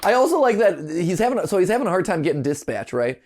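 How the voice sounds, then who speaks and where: A man hosting a reaction show, giving excited, joking and incredulous commentary full of exclamations. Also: sits high, fast talker, slight background noise.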